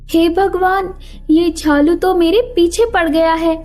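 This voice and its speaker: A woman as an anxious, high-voiced little female songbird. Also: steady tone, takes it slowly, darkish tone. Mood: frightened. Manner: anxious, pleading. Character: frightened young female little songbird, earnest and quick-witted. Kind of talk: animated children's story character voice. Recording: music behind the voice.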